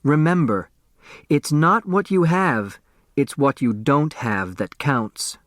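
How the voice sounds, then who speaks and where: A man's voice: on the high side, lively intonation, takes it slowly, a bit bright, no room sound, studio quality. A man voicing an insistent, argumentative woman secretary in an audiobook story. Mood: urgent. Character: shrill, insistent woman secretary reading out and arguing.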